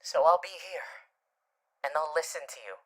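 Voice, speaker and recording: An actor playing a gentle, doting demon with showman's charm and courtly manners in a soft, soothing ASMR roleplay. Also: mid-range voice, expressive, moderate pace, lightly acted. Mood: tender, hesitant. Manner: soft, soothing, hesitant, often breaking into whispers. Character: gentle, doting male demon with theatrical showman's charm, comforting a loved one.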